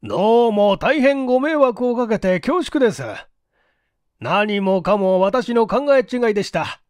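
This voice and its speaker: A woman voicing a composed, courteous male detective chief in an audiobook, explaining in a deliberate, emphatic and formal way. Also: fairly high pitch, lively intonation, a little mellow, dry recording, clean studio sound, voice pushed up. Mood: cheerfully apologetic. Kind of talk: storybook dialogue in audiobook reading.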